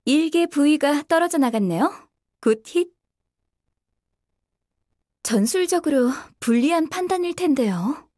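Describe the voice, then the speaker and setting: High female voice, young game operations officer, formal, courteous, measured reporting, dry close sound. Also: expressive, a little mellow, no echo. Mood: analytical. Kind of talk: scripted game voice acting, tactical radio briefing.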